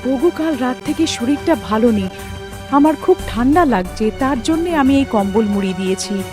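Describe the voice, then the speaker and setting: A woman's voice: fairly high pitch, expressive, normal speed, over music, natural voice. A woman voicing a secretive wife in a village horror cartoon who hides that she is a witch, speaking evasively. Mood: evasive, placating.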